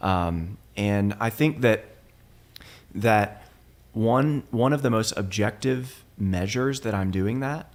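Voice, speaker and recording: A young man with a lowish voice talks as a pastor. He is earnest and measured, pausing to restart his thoughts as he reflects. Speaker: lead pastor, interviewer. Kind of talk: reflective conversation.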